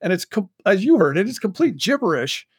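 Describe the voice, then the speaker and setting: A man talking casually as the creative guest in an interview, telling stories in an animated, self-interrupting way and acting out bits of dialogue. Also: high voice, a little fast, creaky, breathy edge, no room sound, studio quality. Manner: animated, self-interrupting storyteller who acts out quoted dialogue. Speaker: guest, audio drama creator and writer.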